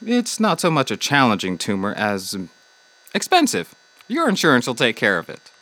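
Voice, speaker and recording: A glib doctor in a comedy podcast, bright voice swooping all over, breezy and tactless. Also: male voice, mid-range voice, huge pitch swings, moderate pace. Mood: breezy, flippant. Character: breezy, flippant, mercenary male doctor. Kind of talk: audio drama comedy dialogue.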